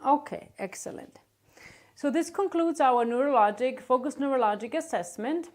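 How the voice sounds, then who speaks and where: A woman's voice: sits high, normal speed. A woman teaching a clinical exam, giving a patient polite, step-by-step directions with brief words of encouragement. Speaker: nursing instructor demonstrating an exam.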